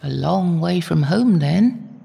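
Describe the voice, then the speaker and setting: An actress playing a devout, motherly older holy woman in an audio drama, gentle, knowing and unhurried. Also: on the low side, expressive, vocal fry, slightly rough, big-room sound, exaggerated voice. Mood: concerned.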